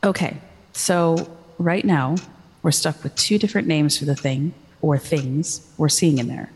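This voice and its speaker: Actor as earnest forum poster, husky, heavy vocal fry, casual explanatory delivery, long reverb, horror audio drama. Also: mid-range voice, unhurried. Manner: explanatory, casual, posing questions. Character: earnest online forum poster theorizing about a demon.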